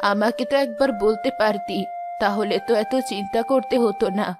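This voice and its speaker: A woman voicing a gentle, doting old village grandmother in a children's cartoon. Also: mid-range voice, fairly even intonation, moderate pace, lightly acted. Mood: worried scolding. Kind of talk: children's moral-story cartoon dubbing. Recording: music behind the voice.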